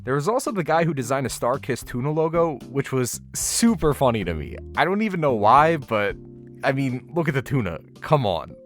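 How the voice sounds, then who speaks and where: A man's voice: mid-range voice, very animated, normal speed, soft background music. A man narrating a convention vlog in a casual, slangy voice-over with wry, playfully exaggerated commentary. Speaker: vlogger narrating a convention walkthrough.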